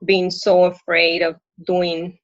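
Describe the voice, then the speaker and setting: A woman's voice: lowish voice, completely flat delivery, slow pace, dry recording. A woman from Puerto Rico with a Puerto Rican accent, Spanish her first language, talking earnestly and hesitantly with many restarts in a coaching session. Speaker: coaching client, working mom. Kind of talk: live coaching conversation. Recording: dull lo-fi dry audio.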